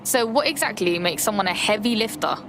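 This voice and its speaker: A woman's voice: medium pitch, animated, a little fast, background noise, close to an everyday voice. A woman playing a streetwise young hacker with a London accent in a video game, slangy and blunt. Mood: curious, cocky.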